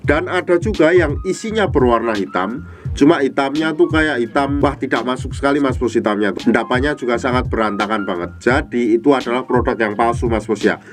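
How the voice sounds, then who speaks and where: Guy w stuffy nose from a cold, chatty product vlog, medium pitch, music behind him. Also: fairly quick. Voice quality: nasal, stuffy-nosed. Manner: chatty, repeatedly addressing the viewer. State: congested from a cold. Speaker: online product seller and video host. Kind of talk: casual product explainer vlog.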